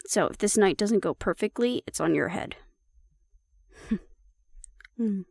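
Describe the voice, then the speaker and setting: A woman's voice: medium pitch, expressive, slow, vocal fry, darkish tone, breathy edge, no echo, exaggerated voice. A woman acting in an audio roleplay as a sardonic, nervous young woman who mutters dry asides to her mate. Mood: nervous, wry.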